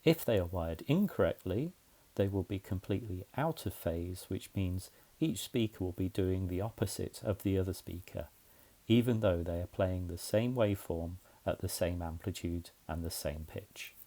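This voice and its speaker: A man's voice: sits low, normal speed, dark tone, rough recording. A man narrating a scripted explainer in a methodical, plain-spoken way, as a tutorial presenter.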